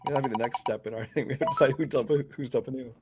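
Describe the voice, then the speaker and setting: A man with a medium-pitched voice talks casually on a movie podcast. His manner is easygoing and measured, and he steers the conversation from one film to the next. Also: steady tone, heavy background noise. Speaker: podcast co-host.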